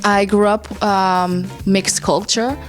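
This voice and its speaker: A woman's voice: mid-range voice, steady tone, takes it slowly. A woman from Indonesia talking casually as an interviewee. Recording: music playing under the voice. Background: music.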